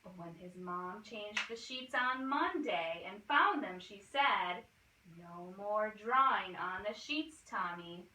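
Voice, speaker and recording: A woman's voice: mid-range voice, animated, slow, bright, forceful tone. A roomy, noisy recording of a woman reading a children's picture book aloud from a little way off the mic. She tells it expressively, like a teacher, and speaks directly to the children listening. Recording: roomy, distant mic, clear noise and clicks.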